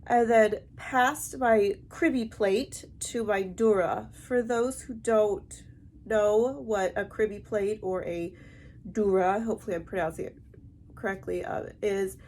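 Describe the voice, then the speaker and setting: A woman with a stuffy, heavily congested nasal voice, talking to camera in a halting, explanatory way in a personal vlog. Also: mid-range voice, fairly even intonation, darkish tone. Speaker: vlogger. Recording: amateur recording with light background noise.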